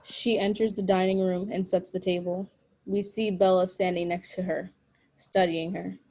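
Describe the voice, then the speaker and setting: A woman narrates a drama script in short, measured phrases. Her voice is fairly low, dark and mellow, suited to reading scene directions. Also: little pitch movement.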